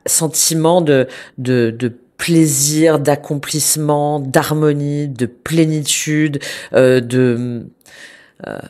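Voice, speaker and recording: A woman with a slightly stuffy, congested voice talks through a tarot reading for her viewers. She hesitates a lot, circling back with fillers and restarts, yet keeps a gentle, reassuring tone. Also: extremely low pitch. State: has a cold, congested. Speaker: tarot reader.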